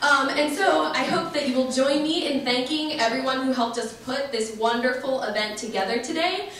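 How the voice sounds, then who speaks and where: A woman's voice: sits high, moderate pace. A woman giving casual, enthusiastic closing thanks as an event organizer in an echoey hall. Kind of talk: informal public closing remarks. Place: large echoey indoor hall, distant microphone. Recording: echoey hall, distant, loud background noise.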